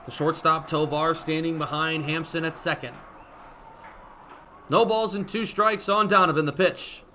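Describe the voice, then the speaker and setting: High, bright-voiced young American baseball announcer man. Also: measured pace. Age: young adult. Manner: energetic, vivid running description. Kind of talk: live radio sports commentary. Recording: live broadcast with ballpark background noise. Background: stadium ambience.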